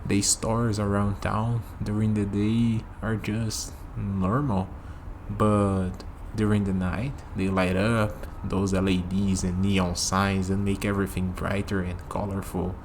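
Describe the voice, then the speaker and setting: Low-voiced man acting a friendly night walker, noisy background. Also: little pitch movement, takes it slowly, a little mellow. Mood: reflective, warm. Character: friendly human man on a night walk, easygoing, musing. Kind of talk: ASMR roleplay spoken to the listener.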